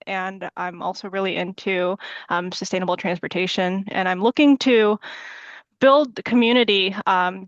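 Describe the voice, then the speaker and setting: Smooth-voiced woman candidate, hesitant. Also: medium pitch, fairly bright tone, no echo, lo-fi sound. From California, USA. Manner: hesitant, many fillers, laughs nervously. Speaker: city council candidate. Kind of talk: video call interview. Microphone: video call.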